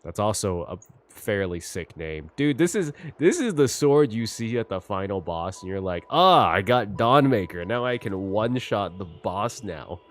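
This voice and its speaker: A man giving a casual, slangy, animated reaction as a gaming streamer. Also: on the low side, moderate pace. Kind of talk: casual excited game reaction. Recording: faint game music behind.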